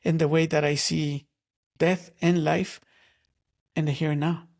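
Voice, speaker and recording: A man from Guatemala who is an artist and a guest in an interview. He talks in a slow, reflective way, searching for words with many pauses. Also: on the high side, little pitch movement, creaky, a little mellow.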